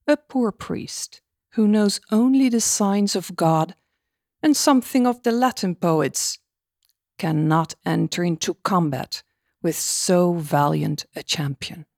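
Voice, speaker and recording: A woman's voice: a little high, darkish tone, no echo, clean studio sound. A woman voicing a pompous, sly male priest who feigns humility in theatrical oratory, as in an audiobook of a novel. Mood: feigned self-pity. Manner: theatrical mock-humble oratory.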